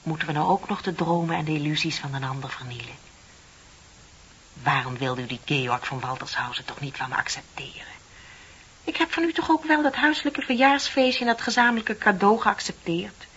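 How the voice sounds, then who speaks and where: A woman's voice: low-pitched, lively intonation, brisk, fairly bright tone. Radio drama, a lonely, needling middle-aged working woman; confiding, sardonic, on dull old tape with faint hiss. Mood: accusing, insistent. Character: bitter, lonely middle-aged female office worker, needling a colleague. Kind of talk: Dutch radio play acting.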